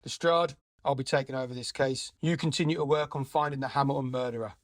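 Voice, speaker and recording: An actor playing a large, gruff, contemptuous male chief inspector in an audio drama, brusque and confrontational. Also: medium pitch, fairly even intonation, quick pace, dry recording, studio quality, close to an everyday voice. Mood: gruff, scornful.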